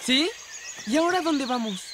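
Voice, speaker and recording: A woman voicing a timid, excitable young male tiger cub with an anxious, exclaiming manner in a children's cartoon. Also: high voice, normal speed, background noise. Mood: worried. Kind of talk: animated children's cartoon acting. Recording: loud music behind.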